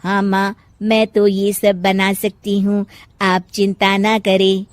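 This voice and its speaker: A woman voicing an obedient, sweet young robot daughter-in-law in a moral story, speaking in a measured, polite, deferential way. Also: mid-range voice, monotone, background noise, natural voice. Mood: obedient, calm. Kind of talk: moral-story character acting.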